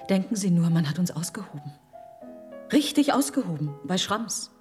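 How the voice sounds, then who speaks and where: A woman playing a naive, excitable countess in a radio drama, with an anxious edge. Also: lowish voice, normal speed. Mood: excited, chattering. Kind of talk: German radio drama acting. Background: music.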